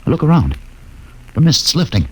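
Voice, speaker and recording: An actor playing a fatalistic, dryly wry male ship's doctor in a 1950s radio drama, speaking in measured tones. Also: a little high, wildly swooping pitch, husky, full character voice, low-quality recording. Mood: fascinated.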